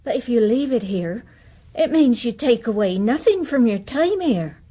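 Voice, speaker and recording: Period-drama wife: mellow, breathy, fairly high, earnest and direct. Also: female voice, lively intonation, normal speed. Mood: earnest, probing. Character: direct, quietly probing married woman of the 1870s. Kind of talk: period radio drama acting.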